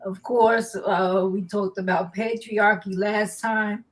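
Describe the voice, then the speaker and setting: A woman hosting a live online talk show, slow and halting with many fillers, her nose stuffy. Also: medium pitch, steady tone. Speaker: host.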